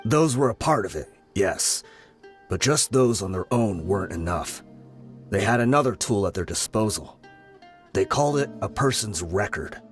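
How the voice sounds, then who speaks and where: A man playing a calm, weary gang veteran. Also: on the low side, moderate pace, faint music behind, lightly acted. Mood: solemn. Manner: calm, explanatory. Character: weary older male gang member, explaining calmly.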